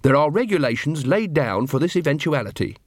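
A man acting an earnest, cheerful young watch corporal in an audiobook, polite, brisk and by the book. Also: fairly high pitch, big pitch swings, no room sound, clean studio sound. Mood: calm, reasonable.

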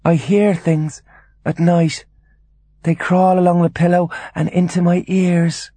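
A man acts out a frail, bedridden mother in a storybook. The voice is lifted high and swings widely, crooning one moment and fretful the next. The manner is imperious and dramatic, with a delusional edge, recorded close and dry. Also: sing-song, normal speed, no echo. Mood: frightened.

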